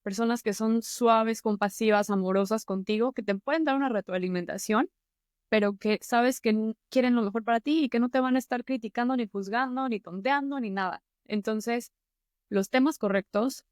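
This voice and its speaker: A woman's voice: on the high side, moderate pace, no room sound, studio quality. Earnest Mexican woman giving advice. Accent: Mexican Spanish. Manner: earnest, encouraging, conversational. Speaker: YouTube host and public-speaking mentor. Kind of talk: motivational advice to camera.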